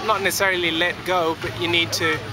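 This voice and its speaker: A man in his late twenties from Kenya, answering in a measured, thoughtful way in a street interview. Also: high voice, flat delivery, poor audio. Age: 20s. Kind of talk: vox pop interview. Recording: loud crowd and music outdoors.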